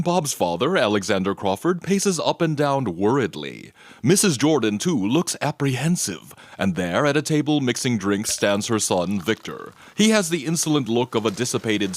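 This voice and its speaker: A man's voice: fairly low pitch, dry recording. A man reading scripted scene descriptions as the narrator of an audio drama.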